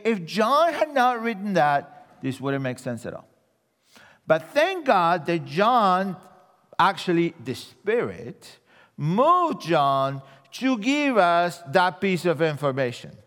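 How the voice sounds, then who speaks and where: Animated preacher with an Argentine accent. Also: male voice, long reverb tail. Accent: Argentine Spanish. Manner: animated storyteller, drops voice low for punchlines. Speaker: guest preacher. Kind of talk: sermon with humorous stories.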